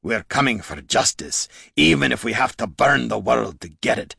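A man voicing a tough, shrewd male dwarf in an audiobook, folksy and rough-spoken. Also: medium pitch, sing-song, fairly quick, fairly bright tone, dry recording, clean studio sound, pitched above the performer's own voice, full character voice. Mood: menacing. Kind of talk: audiobook character dialogue.

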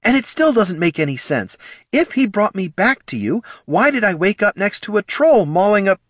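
A man voicing an anguished, furious female elf ranger with a fraught, halting manner in a fantasy audiobook. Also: sits high, sing-song, a bit bright, no echo, studio quality, pitched above the performer's own voice, heavily acted. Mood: confused, demanding. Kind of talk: fantasy audiobook character dialogue.

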